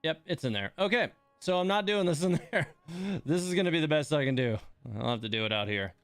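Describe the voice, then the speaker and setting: A man's voice: on the high side, animated, brisk, fairly bright tone, clear, resonant tone, no room sound. A man giving casual livestream game commentary, rambling and muttering to himself as he plays.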